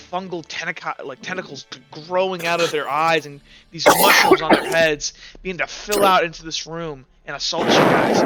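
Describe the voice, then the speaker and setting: A man working as game master, improvising recap and scene narration for a tabletop game in a halting way, circling back over his phrases. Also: a little high, low-quality recording.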